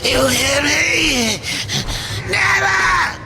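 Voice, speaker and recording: An actor voicing a sadistic, mocking demonic jailer in a video game, crude and taunting with a cackling manner. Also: male voice, very high-pitched, expressive, drawn-out words, gravelly, raised voice, very noisy, full character voice. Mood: taunting, frantic. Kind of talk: video game villain acting.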